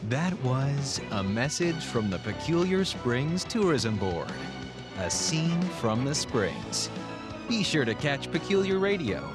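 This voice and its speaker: A man's voice: mid-range voice, big pitch swings, takes it slowly. A man working as an announcer, reading a scripted podcast outro. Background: music.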